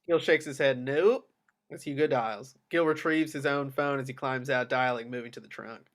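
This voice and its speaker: A man's voice: medium pitch, fairly even intonation, a little fast, a bit bright. A man reading screenplay action lines as the narrator at a table read. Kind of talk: screenplay stage-direction narration.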